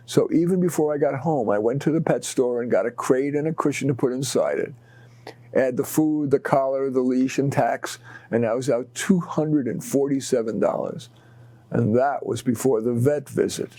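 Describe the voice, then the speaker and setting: A man with a mid-pitched voice reads short stories aloud. He tells them expressively and deliberately, pausing between short phrases for drama. The recording is dry. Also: mellow, dry recording. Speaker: author reading own short stories. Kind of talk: expressive read-aloud storytelling.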